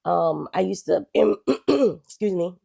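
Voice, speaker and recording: A woman in her forties from Guyana, a guest telling her life story in a relaxed, chatty way. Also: lowish voice, slow pace, gravelly, husky. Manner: relaxed, chatty, with frequent "um" and "you know".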